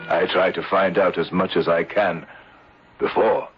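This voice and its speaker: Low-voiced gentleman insurer. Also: steady tone, faint music behind. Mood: reflective. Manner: measured, formal, gentlemanly. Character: grave, kindly gentleman life insurer narrating his case. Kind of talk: old-time radio drama, storytelling narration. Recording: dull vintage radio recording.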